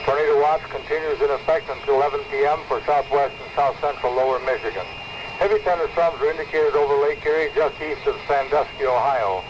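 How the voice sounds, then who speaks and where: A man's voice: mid-range voice, fast talker. A man reading a scripted weather summary as a radio announcer, formal and matter-of-fact. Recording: muffled, noisy 1970s weather radio recording.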